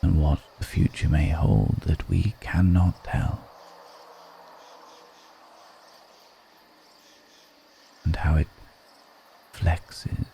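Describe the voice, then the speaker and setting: A man playing a brooding, melancholic undead vampire in an intimate ASMR roleplay, speaking in a measured, poetic murmur with pauses between phrases. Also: slight background noise, full character voice. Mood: wistful, musing. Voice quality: very deep, dark, creaky murmur.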